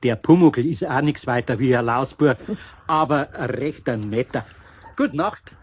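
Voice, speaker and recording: An actor playing a gruff but kindly older craftsman in a radio play, with a husky voice and a folksy, scolding Bavarian way of talking. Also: medium pitch, animated, a little slow. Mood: amused, kindly.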